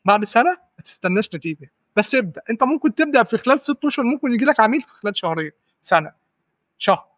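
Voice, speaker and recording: A man's voice: extremely high pitch, sing-song, rapid-fire, a bit bright, no room sound, clean studio sound. A man in his twenties with an Egyptian accent, a podcast guest giving advice in casual talk, often repeating and restarting words and checking "right?". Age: 20s. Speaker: guest data analyst.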